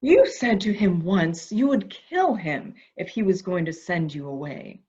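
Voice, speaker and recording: An actress plays a calculating woman in an amateur mystery drama, coaxing and deliberate. Her pace is slow and her pitch swings widely. The recording is slightly dull and lo-fi. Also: animated, a little mellow. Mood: coaxing, goading. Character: calculating woman coaxing a dangerous childlike man. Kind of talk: amateur radio-play acting.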